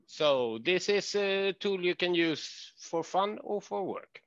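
A man's voice: high voice, expressive, slow, fairly bright tone, dry recording, lo-fi sound. A man presenting a tool briefly on a video call. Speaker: workshop presenter.

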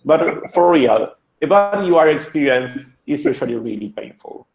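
An Argentinian man presents to developers over a video call, friendly and joking, with loose restarts. His voice has a gravelly edge. The room sounds echoey through his computer mic. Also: a little high, lively intonation, normal speed. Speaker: web designer presenting a talk. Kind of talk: online conference presentation.

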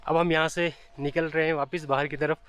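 A man's voice: a little high, little pitch movement, fairly quick, fairly bright tone, clean tone. A man in his thirties, a travel vlogger chatting casually to a handheld camera outdoors in the mountains, explaining what he sees. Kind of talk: casual travel vlog narration. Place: outdoors in mountain valleys and a memorial park. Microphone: handheld camera at arm's length.

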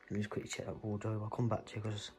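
A man filming himself with a phone as he explores an abandoned house indoors, talking low and hurried to his viewers. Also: monotone, dark tone. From England. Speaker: urban explorer filming a walkthrough. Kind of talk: casual handheld vlog commentary. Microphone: handheld phone. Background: background music.

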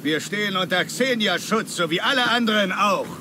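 A man's voice: high voice, animated, normal speed. A man playing a defiant, secretive pirate in a video game, curt and dismissive with strangers. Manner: curt, guarded. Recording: noisy outdoor game ambience behind voice.

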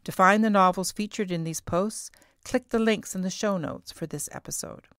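A middle-aged woman reading scripted podcast narration as host, in a measured, carefully enunciated way. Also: lowish voice, creaky, a bit bright, dry recording, studio quality.

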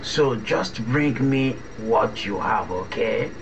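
An actor playing a fatherly, middle-aged Nigerian uncle in a radio drama, soothing and measured, with a Nigerian accent. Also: mid-range voice, natural voice. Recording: dull, faintly noisy recording.

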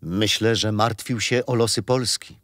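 An actor playing a kindly, patient grandfather telling stories in a children's radio play, unhurried and expressive. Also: fairly low pitch, dry recording, clean studio sound. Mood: storytelling. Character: kindly grandfather storyteller, patient, teasing, wise.

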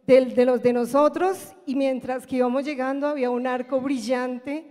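A woman's voice: high-pitched, fairly even intonation, moderate pace, warm tone. A woman giving a narrative religious testimony as a church member. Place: large church hall. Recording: echoing large hall.

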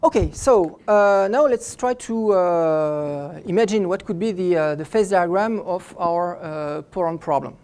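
Halting middle-aged man lecturing physics. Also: high-pitched, a little mellow, clean tone. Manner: halting, stops and restarts phrases often while explaining. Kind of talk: academic lecture. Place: lecture hall, indoors.

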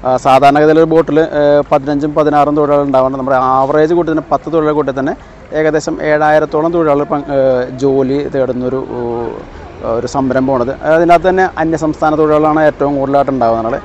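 A man talks through a casual vlog in a slightly high voice. He often pauses and searches for his words. There is light outdoor noise behind him, picked up on a phone. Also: steady tone, darkish tone, muffled recording. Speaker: vlogger. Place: outdoors on a seaside breakwater at night. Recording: noisy outdoor phone recording.

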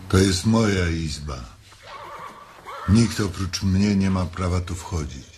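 A man's voice: low-pitched, animated, slow pace, music in the background. A man acting a bitter, lonely, proud stable hand in a Polish radio drama, speaking bluntly. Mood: bitter, hostile.

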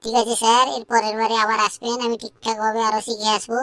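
A woman's voice: a little high, completely flat delivery, fast talker, a bit bright, dry recording, close to an everyday voice. A woman voicing a confident young schoolgirl, polite but cheeky, in a comedy cartoon.

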